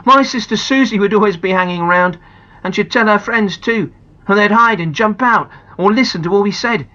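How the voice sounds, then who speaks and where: A woman's voice: mid-range voice, normal speed, bright, forceful tone, voice pushed up, close to an everyday voice. A woman voicing a firm, emphatic young boy, in a slightly dull recording.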